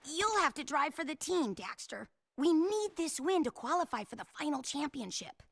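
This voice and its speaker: High-voiced, feisty young mechanic. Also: female voice, moderate pace, gravelly, no echo, heavily acted. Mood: earnest. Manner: pointed, expressive. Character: feisty, reproachful young woman race mechanic. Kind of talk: video game cutscene acting.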